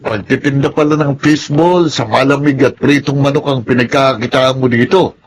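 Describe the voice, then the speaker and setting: Casting: male actor as smooth-talking ex-inmate pal, Filipino accent, mid-pitched, radio drama, faint noise behind. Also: fairly even intonation, moderate pace, lightly acted. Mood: persuasive. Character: chummy, persuasive ex-inmate friend tempting a pal. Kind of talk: Tagalog radio drama acting.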